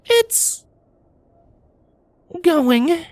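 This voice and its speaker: A very high-pitched young pony princess, as a woman narrator puts her on, reluctant and remorseful. She speaks in a hesitant, grudging, defensive way, as a character in a storybook reading. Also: sing-song, extremely slow, darkish tone, no room sound, full character voice. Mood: sulky, defensive. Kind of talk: fan fiction storybook reading.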